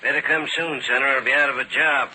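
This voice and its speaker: An actor playing a weary, gruff older police inspector and father in a 1940s radio drama, on a scratchy old recording. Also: medium pitch, steady tone, quick pace, sharp tone. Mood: weary, grim.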